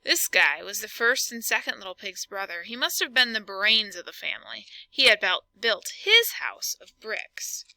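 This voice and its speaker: Woman voicing storybook wolf, self-justifying, mock-sincere, talks straight to listener, very bright tone, close dry sound. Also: mid-range voice, very animated, normal speed, few pauses, no echo, heavily acted. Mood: mock-innocent. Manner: conversational, mock-sincere, addresses listener directly. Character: self-justifying male storybook wolf, folksy, playing innocent. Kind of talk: expressive read-aloud storytelling.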